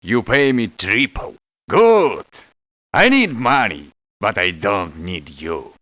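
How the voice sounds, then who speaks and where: A man's voice: lowish voice, big pitch swings, slow pace, bright, forceful tone, no room sound, heavily acted. A man voicing a hostile, greedy video game mercenary who speaks blunt, broken English. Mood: greedy, threatening. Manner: broken English, blunt short phrases, contemptuous. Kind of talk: video game character voice lines.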